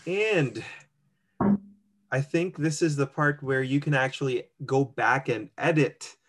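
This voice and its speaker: A man's voice: medium pitch, slow, a bit bright. Chatty man thinking aloud. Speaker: co-host walking through an online immigration form. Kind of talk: casual screen-share walkthrough.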